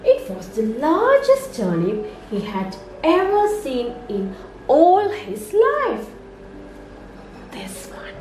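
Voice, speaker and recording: A woman reading a children's story aloud as a nursery storyteller, in an expressive, sing-song way meant for young children. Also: sits high, slow, a little hiss or hum. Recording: roomy echo, music underneath.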